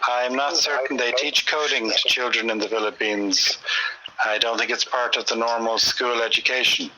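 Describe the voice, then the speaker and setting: A man in his forties from Ireland with an Irish accent, chatting casually and patiently slowing down to explain grammar. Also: medium pitch, fairly even intonation, background noise. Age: 40s. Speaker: conversation partner. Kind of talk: casual chat.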